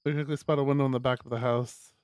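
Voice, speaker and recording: A man streaming a visual novel, reading it aloud as expressive narration with casual asides. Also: mid-range voice, fast, smooth, no echo.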